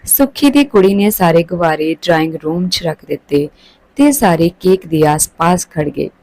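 A woman storyteller narrates from a script. Her voice is soft-edged and mellow, sitting slightly low. The recording is dry and close. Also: animated, normal speed, no room sound, low-quality recording.

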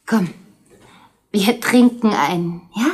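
A slow, flirtatious decoy. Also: female voice, medium pitch, husky. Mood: flirty. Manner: coy, drawling. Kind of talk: 1970s German radio crime play.

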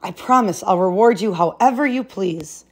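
A woman's voice: mid-range voice, lively intonation, normal speed. A woman voicing a spoiled, haughty young princess in a storybook, with a petulant, emphatic manner. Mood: pleading.

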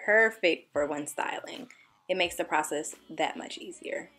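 A woman's voice: low voice, measured pace, fairly bright tone, faint music behind. A young woman beauty vlogger narrating a scripted tutorial voice-over in an upbeat, enthusiastic manner. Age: young adult.

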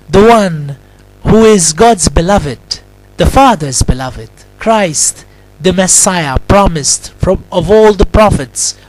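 A man, a Capuchin friar, delivers a Christmas homily and prayer in short deliberate phrases with long pauses. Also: sits high, no room sound, low-quality recording.

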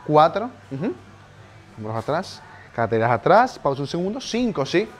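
A man's voice: on the high side, sing-song, moderate pace, soft background music. Young man coaching reps in a garage. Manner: urgent encouraging counting of reps, pushes harder near the end. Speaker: personal trainer. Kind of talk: live coaching a workout. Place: home garage gym, indoors.

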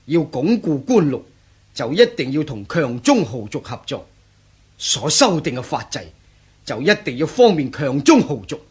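Husky, high-pitched bandit chief. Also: male voice, full character voice. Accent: Hong Kong Cantonese. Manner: indignant, scoffing, rhetorical. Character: defiant, bitter male bandit chief with grievances. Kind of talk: radio drama acting.

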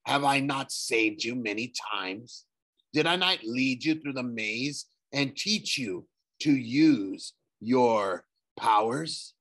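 A man reading an audiobook, voicing a sly, menacing male ghost in a low, hushed, breathy voice, slow and persuasive. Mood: coaxing, sinister. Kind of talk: audiobook character voice.

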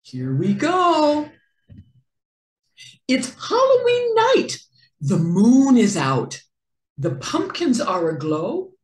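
A middle-aged woman reading a children's picture book aloud in an expressive, deliberate storytelling manner with careful enunciation. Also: sits high, echoey room. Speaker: read-aloud host for children.

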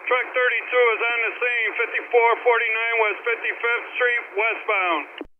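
A man's voice: very high-pitched, fairly even intonation, moderate pace, no echo. A man giving firefighter dispatch calls over a two-way radio. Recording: dull, lo-fi two-way radio transmission.

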